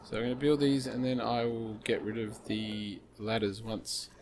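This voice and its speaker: Slightly hoarse man rambling through gameplay. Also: lowish voice, flat delivery, moderate pace, darkish tone, a little hiss or hum. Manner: rambling, thinking aloud with many fillers. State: slightly hoarse, losing his voice. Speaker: gaming channel host. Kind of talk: casual gameplay commentary.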